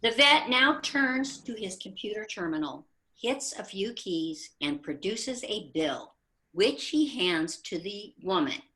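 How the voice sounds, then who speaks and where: A woman's voice: mid-range voice, low-quality recording. A woman narrating a short comic story for a readers theater program. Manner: slow, expressive storytelling.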